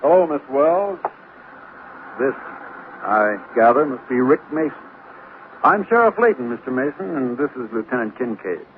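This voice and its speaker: An actor playing a polite, measured sheriff cornering suspects in a 1940s radio drama, on a thin old recording. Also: male voice, medium pitch, very animated, phone-quality sound, noisy background, close to an everyday voice. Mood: calmly triumphant.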